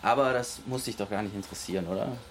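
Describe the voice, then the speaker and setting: An actor playing an easygoing young lab researcher who deals on the side, talking casually in a naturalistic radio drama. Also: male voice, on the low side, fast talker, a little hiss or hum, natural voice. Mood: reassuring. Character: easygoing young male lab researcher dealing homemade speed. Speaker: research assistant.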